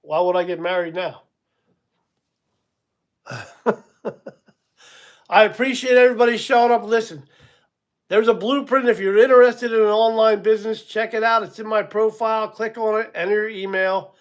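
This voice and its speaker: Man, 60s, relaxed livestream host, higher-pitched voice, rambling and chuckly. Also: moderate pace, pauses often. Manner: casual, rambling, chuckles at himself, many ums. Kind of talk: live stream chat with viewers.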